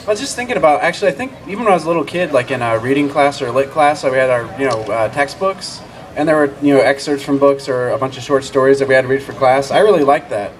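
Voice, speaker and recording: Male panelist, quick rambling talk, mid voice. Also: mid-range voice, a little hiss or hum, rough recording. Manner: rapid, rambling, self-correcting. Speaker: comics editor, panelist. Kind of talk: panel discussion answer. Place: outdoor book fair stage in a park.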